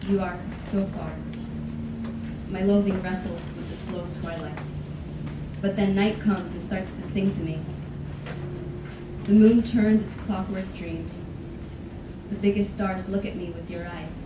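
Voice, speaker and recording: A woman reads a poem aloud at a podium in a classroom, measured and expressive. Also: mid-range voice. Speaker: contestant reading a poem. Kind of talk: dramatic poetry reading. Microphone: podium mic, from a far camera. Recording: roomy room, far-off camera, noise.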